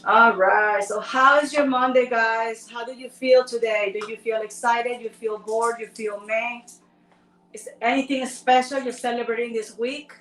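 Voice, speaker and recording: A woman's voice: fairly high pitch, steady tone, measured pace, a bit bright, distant mic. A woman from Ecuador with a Spanish accent, chatting playfully with viewers while co-hosting a live stream. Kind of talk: casual live-stream chat. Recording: roomy sound from away from the mic.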